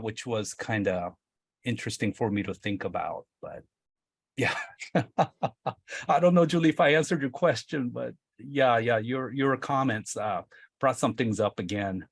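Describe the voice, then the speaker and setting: A man's voice: medium pitch, lively intonation, normal speed, creaky, breathy edge, no echo. Reflective middle-aged man, dry close sound. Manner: reflective, hedging, often asks "right?". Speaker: company executive. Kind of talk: casual panel discussion.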